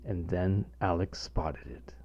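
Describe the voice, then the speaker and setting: A man's voice: sits low, steady tone, takes it slowly, mellow. A middle-aged man reading his own scripted humorous short story aloud as narrator. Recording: dull, lo-fi home recording.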